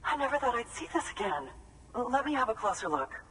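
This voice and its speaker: An actor playing a jittery, polite collector in a video game, hesitant and exclamatory, quick to gush. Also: male voice, extremely high pitch, sing-song, bright, forceful tone, breathy edge, far from the mic, heavily acted, poor audio. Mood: awed, delighted. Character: jittery, effusively grateful collector, wary then delighted. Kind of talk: dramatic video game acting.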